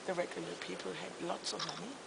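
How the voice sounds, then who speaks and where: 여자 가이드가 독일 억양의 영어로 성당 안을 안내하며, 목소리를 낮춰 조용히 말하고 자주 말을 멈추고 단어를 찾는다. 목소리는 거칠고 갈라진 음색이 섞여 있다. 녹음 전체에 시끄러운 잡음이 깔려 있다. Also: female voice, fairly low pitch, fairly even intonation, creaky, very noisy. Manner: hushed, keeps asking "right?", pauses to search for words. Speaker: tour guide. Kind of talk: guided church tour. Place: inside a large echoing church.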